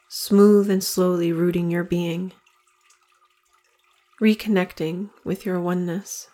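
A woman leading a guided meditation in slow, deliberate phrases with long pauses between them. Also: lowish voice, darkish tone.

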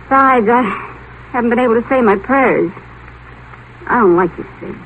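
A woman's voice: a little high, normal speed, narrow, tinny audio, lightly acted. An actress playing a torn, indignant young woman in a 1950s radio drama, plaintive and protesting. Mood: petulant, protesting. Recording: faintly hissy 1950s radio broadcast.

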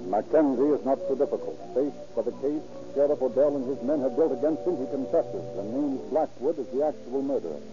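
A man's voice: mid-range voice, brisk, few pauses, music in the background. A man reading scripted narration as a 1930s radio announcer, with a formal, dramatic delivery. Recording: muffled 1930s radio recording.

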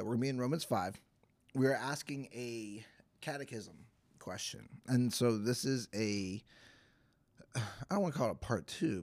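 A man with a hoarse, stuffy voice, giving a casual livestreamed Bible teaching in a chatty, self-deprecating way. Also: on the low side, a little mellow, no room sound. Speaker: pastor.

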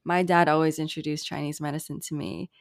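A young American woman hosts a relaxed chat, her voice low-pitched and upbeat, with slangy, easygoing phrasing. The sound is close and dry. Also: a little fast, vocal fry, no room sound. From United States. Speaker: podcast host. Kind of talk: casual chat.

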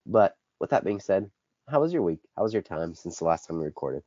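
A man's voice: deep voice, big pitch swings, brisk, warm tone, no room sound. A teenage boy co-hosting a casual sports podcast, a bit congested with a dry throat, rambling and correcting himself as he talks. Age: teens. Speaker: podcast co-host. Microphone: basic home podcast setup. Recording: dull lo-fi dry recording.

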